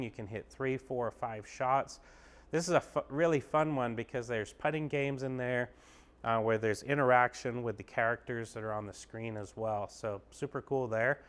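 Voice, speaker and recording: A man giving a casual, upbeat walkthrough of an indoor golf simulator bay, explaining each screen as he clicks through it. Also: medium pitch. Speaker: golf pro giving a tour.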